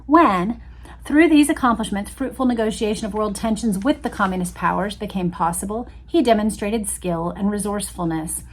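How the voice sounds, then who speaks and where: A woman's voice: medium pitch, normal speed. A woman hosting a casual read-along, commenting conversationally and musingly as she reads aloud and talks to her viewers. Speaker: host reading and commenting on an old magazine.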